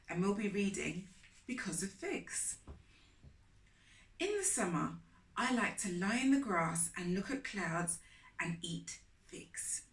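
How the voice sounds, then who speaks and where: A woman reading aloud as a children's storytime host, with deliberate, emphatic, expressive phrasing. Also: mid-range voice. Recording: roomy echo, distant microphone.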